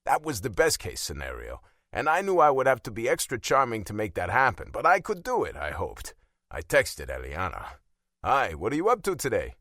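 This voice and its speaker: A man's voice: lowish voice, huge pitch swings, fairly quick, fairly bright tone, no echo, clean studio sound. A man narrating a first-person romance audiobook in a wry, self-deprecating, conversational way.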